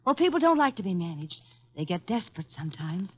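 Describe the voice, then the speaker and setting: An actress playing an indignant, anguished young niece in an old-time radio drama, with dramatic delivery. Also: female voice, on the low side, sing-song, quick pace, breathy edge. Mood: menacing. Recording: muffled vintage radio recording.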